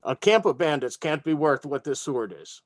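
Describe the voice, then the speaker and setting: A man's voice: on the high side, lively intonation, normal speed, dry recording, studio quality, natural voice. A man voicing a grave, weary dwarf adventurer with formal, old-fashioned phrasing in a tabletop game. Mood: solemn.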